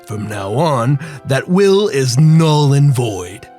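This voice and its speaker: A man's voice: mid-range voice, very animated, mellow, faint music behind. Menacing crime boss with swooping intonation man acting. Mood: outraged. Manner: formal, deliberate, coldly threatening. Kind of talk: 1940s noir radio drama acting.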